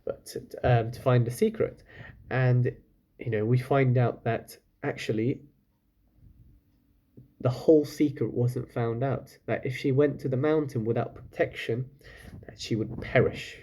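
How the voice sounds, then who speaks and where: Husky-voiced man reading a children's story. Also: medium pitch, steady tone, dark tone. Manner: pauses for chatty asides to listeners. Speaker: storyteller, reader. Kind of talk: expressive read-aloud of a children's book with casual asides.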